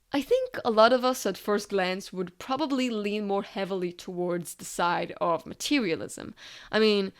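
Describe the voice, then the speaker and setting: A woman narrating a scripted video essay in a conversational, explanatory way with casual asides. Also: mid-range voice.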